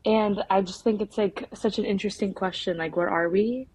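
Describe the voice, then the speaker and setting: Young woman, filmmaker interviewee, casual, halting, filler-heavy, conversational, medium pitch. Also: darkish tone, breathy edge, low-quality recording. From United States. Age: young adult. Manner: informal, halting, peppered with filler "like". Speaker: documentary filmmaker being interviewed.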